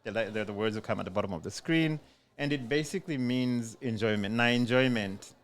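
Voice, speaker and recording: A man's voice: mid-range voice, fairly quick. A man co-hosting a casual podcast on location at a community centre, talking in an easygoing way with frequent ums and repeated words. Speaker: podcast co-host.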